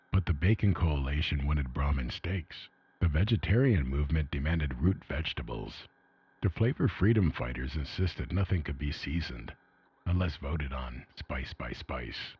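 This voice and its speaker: A man acts out a weary male survivor of an underground vault reading his journal in a radio drama. The voice is low and very husky, despairing and emphatic in its complaints. An old AM radio filter dulls it. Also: expressive, moderate pace, dry recording, exaggerated voice. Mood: exasperated. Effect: retro AM radio filter. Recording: dull retro AM radio sound.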